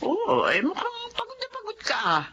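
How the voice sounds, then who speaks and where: A woman playing a sharp-tongued, disapproving old grandmother in a Filipino radio drama. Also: very high voice, wildly swooping pitch, normal speed, slight background noise, exaggerated voice. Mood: indignant. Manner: scolding, indignant. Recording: dull band-limited audio.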